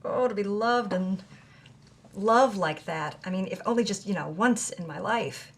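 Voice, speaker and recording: A woman's voice: medium pitch, unhurried, close to an everyday voice. An actress playing an earnest, admiring female interviewer in an audio drama, with conversational delivery. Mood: yearning. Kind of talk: audio fiction dialogue and read-aloud.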